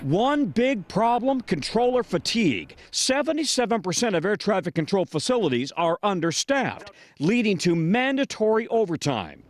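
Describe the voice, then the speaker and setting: An American man reports the news in a high-pitched voice. His scripted correspondent delivery moves widely up and down in pitch. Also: sing-song, normal speed.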